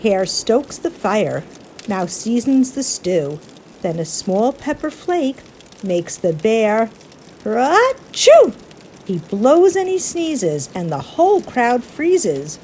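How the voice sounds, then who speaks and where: Female children's storybook reader: unhurried, highly varied intonation, playful emphasis, clear background noise. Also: sing-song, mellow. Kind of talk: expressive children's picture-book read-aloud.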